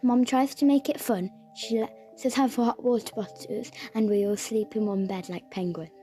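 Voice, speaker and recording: A woman voicing a young girl who earnestly tells a gentle storybook tale about her home. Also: on the high side, fairly even intonation, normal speed, natural voice. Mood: wistful. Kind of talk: scripted storybook narration. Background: music.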